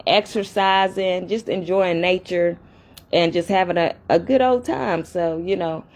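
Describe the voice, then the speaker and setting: A woman vlogging casually at home and talking straight to her viewers, chatting in an upstairs room with a fan running. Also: fairly low pitch. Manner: chatty, addresses viewers directly and often. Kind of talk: casual talk to camera.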